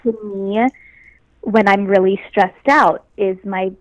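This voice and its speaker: A young woman with a high voice chats easily as a guest, laughing now and then as she tells her stories. Her voice sits in a middle range for a woman and sounds close and dry, with no room around it. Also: fairly even intonation, slow, no room sound, rough recording. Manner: easygoing, laughs often, talks about herself in a light way. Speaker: actress guest. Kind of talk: casual interview chat.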